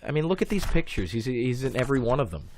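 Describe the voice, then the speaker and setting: Animated man acting a curious young nephew. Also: medium pitch, fast, a little hiss or hum. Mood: insistent. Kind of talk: amateur audio drama acting.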